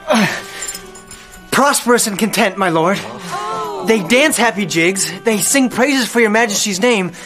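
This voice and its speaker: An actor playing a naive, earnest young knight in a comic video game, formal and boyish. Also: male voice, high-pitched, animated, measured pace, fairly bright tone, soft background music. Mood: proud, earnest. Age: young adult.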